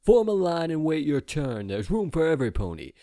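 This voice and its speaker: A man's voice: a little high, sing-song, fairly quick, mellow, no echo, studio quality, pitched above the performer's own voice. A man voicing a stern armed pony soldier in an audio story, barking commanding orders. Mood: stern, threatening.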